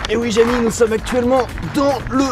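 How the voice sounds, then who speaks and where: A man's voice: very high voice, fairly even intonation, brisk, music in the background. A man with a Parisian French accent chatting casually as a vlog host, joking and tongue-in-cheek, recorded outdoors on a handheld camera. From France, Paris. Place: outdoors in a city park at night. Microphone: compact camera held by the speaker.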